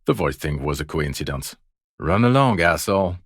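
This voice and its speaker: Jaded male detective, deep voice, dry close recording, deadpan sarcasm, foul-mouthed, sneering, game dialogue. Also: normal speed, no room sound. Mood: dismissive, sarcastic. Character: bitter, sarcastic, fed-up male police detective, world-weary. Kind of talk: video game voice acting.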